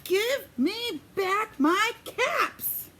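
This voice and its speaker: A woman reading a storybook as an exasperated male peddler, with loud, drawn-out, emphatic calls. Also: extremely high pitch, animated, slight background noise, pitched above the performer's own voice, heavily acted. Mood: scolding. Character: exasperated male cap peddler, scolding and calling out.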